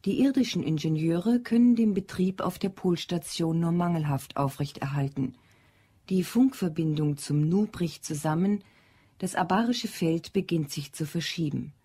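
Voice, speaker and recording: A woman's voice: on the low side, normal speed, warm tone, breathy edge. A woman narrating a scripted science-fiction story in a dramatic style.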